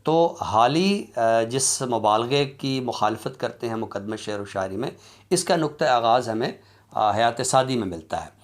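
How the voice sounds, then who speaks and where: A man giving an academic lecture in a deliberate, explanatory way, with halting pauses. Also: medium pitch, expressive. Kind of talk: academic lecture on literary criticism.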